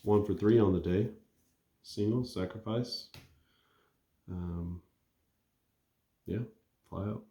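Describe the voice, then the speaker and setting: A man calmly narrating a tabletop baseball replay play by play, thinking aloud as he rolls the dice. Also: fairly low pitch, takes it slowly, pauses often, reverberant. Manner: relaxed and conversational, thinking aloud while rolling.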